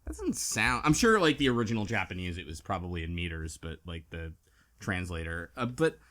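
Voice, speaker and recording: A man's voice: low-pitched, big pitch swings, brisk, a bit bright. A man hosting a casual group discussion, often hesitant as he thinks aloud and trails off mid-sentence. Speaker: book club podcast host.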